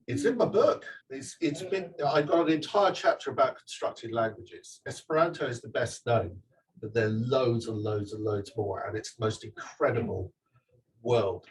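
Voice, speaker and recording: A man's voice: medium pitch, normal speed. Distant-miked man chatting about his book. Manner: chatty, digressive, many restarts and fillers. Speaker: author giving a talk. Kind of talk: live talk and audience Q&A. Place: event venue with audience.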